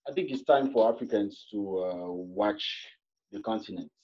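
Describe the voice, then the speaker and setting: A man from Cameroon, a guest in a panel discussion, who talks in a halting way with many fillers and restarts. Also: fairly low pitch. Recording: muffled online call audio.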